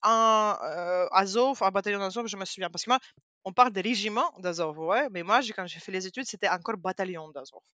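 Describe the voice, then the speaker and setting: Bright, dry-voiced Ukrainian woman guest. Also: medium pitch, dry recording, highs cut off. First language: Russian. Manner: animated, halting French with frequent fillers. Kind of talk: casual interview talk.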